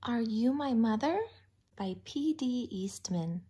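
A woman reading a picture book aloud expressively to young children, in simple, deliberate phrases. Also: on the high side, animated, dark tone, husky. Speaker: children's storytime reader.

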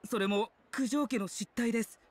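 An actor playing an anxious young clan retainer in an anime game, humble, apologetic and worried. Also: male voice, high-pitched, moderate pace, close to an everyday voice. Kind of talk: Japanese anime game voice acting.

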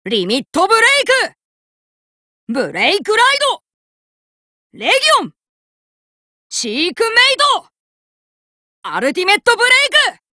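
A woman voicing a brash, cocky young male card fighter who boasts and shouts battle chants, recorded as game voice lines. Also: extremely high pitch, wildly swooping pitch, unhurried, long pauses, dry recording, heavily acted. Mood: fired up. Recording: dry studio recording.

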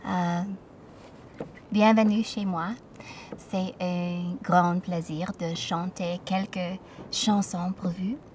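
A woman in her thirties, a native English speaker from Toronto with an English Canadian accent, speaking in a hesitant, soft-spoken way with many pauses. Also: lowish voice, fairly even intonation, distant mic, slight background noise. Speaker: singer-songwriter. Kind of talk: casual spoken introduction. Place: outdoor backyard deck. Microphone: studio mic with pop filter, slightly off-axis.

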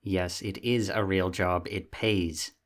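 A man's voice: sits low, fairly even intonation, slow. A man playing a sassy, vain young catboy roommate in an ASMR roleplay, talking in a casual, theatrically huffy way. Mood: huffy, smug.

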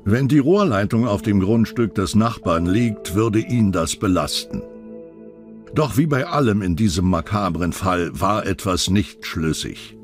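A man's voice: lowish voice, measured pace. A man narrating a scripted true-crime documentary as its narrator. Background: background music.

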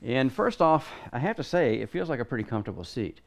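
A man's voice: mid-range voice, very animated, fast, vocal fry. A middle-aged man giving a casual hands-on product review in his home garage, rambling and thinking aloud as he works.

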